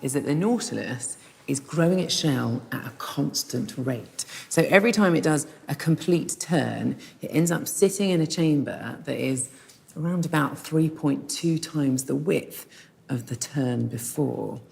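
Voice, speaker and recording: A woman presenting a documentary, narrating and explaining in a lively, lightly self-deprecating way. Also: deep voice, unhurried, gravelly, slightly rough. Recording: music bed under the voice.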